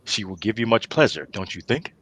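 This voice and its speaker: A man's voice: fairly low pitch, expressive, a little fast, a bit bright, slightly rough, voice pushed down. A man playing a commanding, fatherly king with a regal, declarative manner. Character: commanding, fatherly king addressing son and court.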